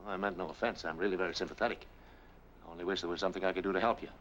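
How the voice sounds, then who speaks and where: A man acting in a 1940s film as a smooth-talking, sympathetic stranger, on an old muffled soundtrack. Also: deep voice, steady tone, racing, fairly bright tone, a little hiss or hum.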